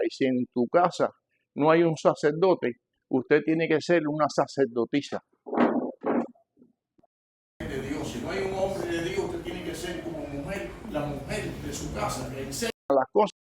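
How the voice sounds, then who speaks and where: Older man, Cuban Spanish accent, somewhat high voice, church sermon, emphatic, repetitive phrasing, loud-to-hushed swings. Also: normal speed, slight background noise, rough recording. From Cuba. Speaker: preacher. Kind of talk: sermon, teaching a church congregation.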